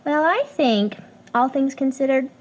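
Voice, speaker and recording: A woman's voice: high-pitched, expressive, unhurried. An actress playing an eager, meddling young woman in an audio drama, chatty, emphatic and pushy. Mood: bossy, instructive.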